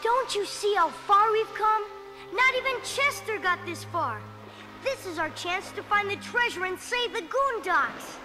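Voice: very high-pitched, lively intonation, a little slow. A performer playing an eager, adventurous young boy on a treasure hunt in an acted read-along storybook. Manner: eager, exclaiming. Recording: loud music under the voice.

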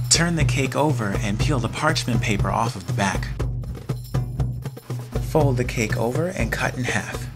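Man narrating a recipe over loud music. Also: mid-range voice. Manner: steady instructional delivery. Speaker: cooking show host.

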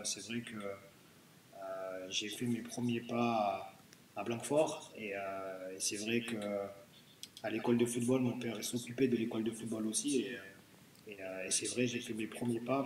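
A man's voice: fairly low pitch, a little mellow. A man in his thirties talks casually over a video call, stopping and restarting his sentences with lots of fillers. He sounds a bit far from the mic in a roomy, echoing space, and faint noise sits under his voice. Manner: hesitant, many fillers and restarts. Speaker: guest footballer. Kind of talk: casual interview answers. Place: small room with bare wall. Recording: echoey room, distant mic, faint noise.

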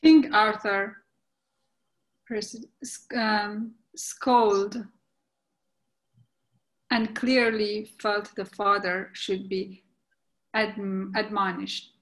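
A measured storyteller , played by a woman, narrates comic verse. The pace is slow and deliberate, at a medium pitch. It has the style of a staged play reading. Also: little pitch movement, rough recording. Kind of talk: readers' theatre narration. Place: indoors at home on a video call.

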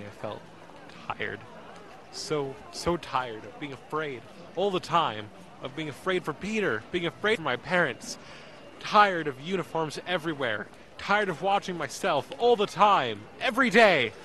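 An actor playing an anxious, emotional young man who narrates his ordeal in an audio drama, intense and halting. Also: a little high, animated, pushed and bright, slight background noise. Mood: weary, anguished. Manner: intense, halting, repeats words.

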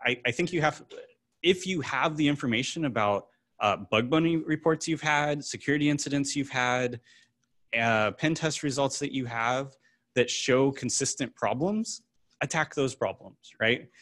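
A man's voice: mid-range voice, normal speed, fairly bright tone. A man speaking at a meetup, answering audience questions informally with practical explanations. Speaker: application security speaker. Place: meetup room before a seated audience.

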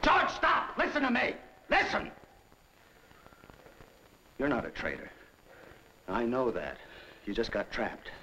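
An American actor, middle-aged, playing a stern, urgent government investigator with an earnest, clipped manner in an old film. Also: male voice, medium pitch, wildly swooping pitch, slow, pauses often, pushed and bright, heavily acted, rough recording. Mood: urgent pleading. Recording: old film soundtrack with hiss.

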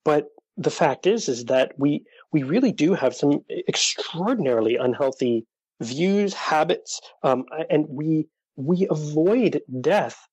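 A man's voice: fairly high pitch, animated, unhurried, darkish tone, breathy edge, dry recording. Earnest male podcast guest. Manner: earnest, searching, repeats words. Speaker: podcast guest, dating coach for widowers. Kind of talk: casual interview chat.

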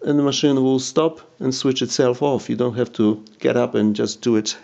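Gravelly man. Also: medium pitch, flat delivery, moderate pace, darkish tone. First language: not English. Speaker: video presenter demonstrating audio gear. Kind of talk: informal hands-on product demonstration. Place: indoors at home, by a tape deck. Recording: dull lo-fi home recording.

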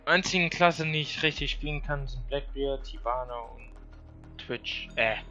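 A man's voice: mid-range voice, unhurried, pushed and bright, muffled recording, faint music behind. A man giving casual, blunt gameplay commentary, grumbling and swearing as he plays. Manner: blunt, grumbling, coarse-mouthed. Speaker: gamer, let's-player. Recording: noisy home gaming recording with game gunfire. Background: video game sounds, gunfire.

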